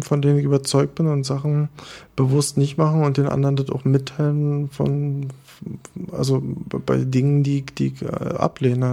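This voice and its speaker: A man speaks in a low, gravelly, mellow voice. He keeps his pitch fairly flat and steady. He answers thoughtfully, hesitating often and restarting his phrases. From Berlin, Germany. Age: 30s. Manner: hesitant, many fillers and restarts. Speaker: bar co-owner interviewee. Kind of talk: reflective interview answers.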